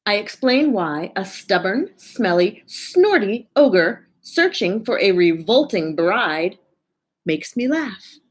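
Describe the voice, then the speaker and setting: A woman reading a picture book aloud to children in an expressive, deliberate way, as a children's librarian. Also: medium pitch. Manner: animated, drawn-out, deliberate delivery.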